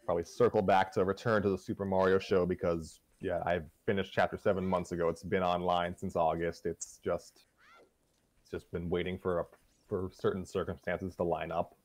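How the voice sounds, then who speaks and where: A man co-hosting a show in casual, unscripted chat, talking in a halting, self-deprecating way. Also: low voice, flat delivery. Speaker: co-host of a fan fiction reading show.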